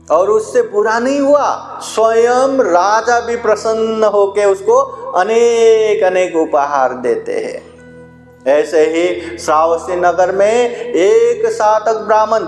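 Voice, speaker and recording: A man preaching as a Buddhist monk, telling parables in a public discourse, repeating phrases for emphasis and voicing the dialogue in his stories. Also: high-pitched. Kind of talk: public religious discourse with storytelling. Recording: roomy echoing sound with music behind.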